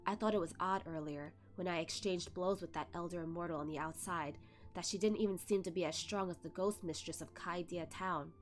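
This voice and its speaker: A woman voicing a calm, cold male master who speaks low and measured. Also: soft background music, lightly acted.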